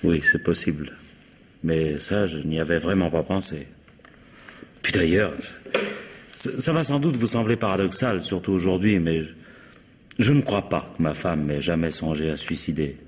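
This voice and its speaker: An actor playing a dignified, defensive widower under suspicion, guarded and pointed, in old radio mystery style. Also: male voice, low voice, normal speed, pauses often, lightly acted. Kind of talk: 1950s French radio mystery acting. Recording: reverberant, noisy old radio drama sound.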